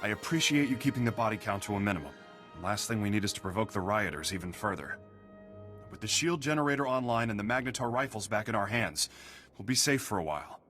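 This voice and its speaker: Forceful military warden, bright and tense. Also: male voice, fairly low pitch, lively intonation, faint music behind. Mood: brisk, businesslike. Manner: brisk, military briefing delivery. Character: gruff, duty-bound male prison station warden officer. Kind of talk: video game voice acting.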